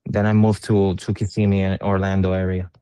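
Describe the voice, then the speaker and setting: A man's voice: low-pitched, level tone, brisk, mellow, clear, resonant tone, dry recording. A middle-aged man from Puerto Rico, an interviewed musician, talking in a casual conversation, hesitant and full of fillers and repeated words.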